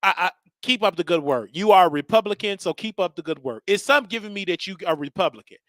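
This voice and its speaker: A man in his thirties hosting a casual livestream, ranting in loud, repetitive bursts with sudden shouts. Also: sits high, lively intonation, fast, no room sound, studio quality. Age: 30s. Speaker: show host.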